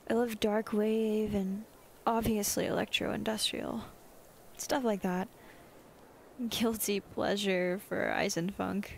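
A woman's voice: mid-range voice, flat delivery, takes it slowly, creaky, darkish tone, a little hiss or hum. A woman playing a laid-back, friendly young goth student with an easygoing manner in an ASMR roleplay. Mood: relaxed.

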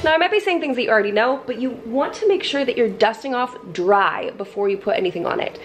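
A woman in her thirties chatting casually as she cleans her home on camera, self-deprecating and quick to laugh. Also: on the high side, animated, over loud music. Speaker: lifestyle vlogger. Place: kitchen and entryway of a house, indoors.

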